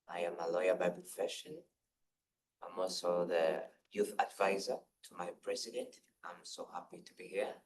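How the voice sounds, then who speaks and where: A man from Uganda with a very low, deep voice introduces himself on a youth panel. He speaks earnestly as a lawyer and youth advisor. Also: little pitch movement, moderate pace, low-quality recording.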